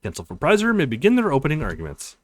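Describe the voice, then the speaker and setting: A man's voice: fairly high pitch, wildly swooping pitch, rapid-fire, dry recording. Man as a ceremonious, stern court official. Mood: stern, formal. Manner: ceremonious announcing.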